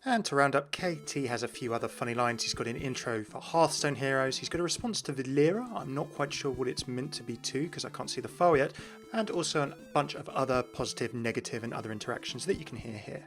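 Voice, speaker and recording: A man hosting a gaming video with scripted lore narration. His voice is mid-pitched, with music playing faintly underneath. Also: fast talker, a bit bright.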